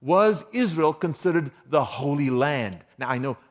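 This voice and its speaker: A man's voice: a little high, animated, a little slow. A man from South Africa preaching a sermon, quizzing the congregation with questions and chuckling between his points. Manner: engaging, quizzes the audience with questions.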